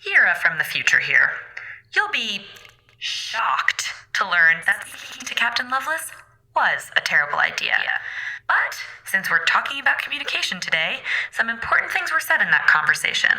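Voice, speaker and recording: A woman playing a chirpy, wry radio presenter in an audio drama, speaking in a brisk, confiding manner. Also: mid-range voice, sing-song, bright, forceful tone, heavily acted, low-quality recording. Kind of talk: audio drama narration to listeners.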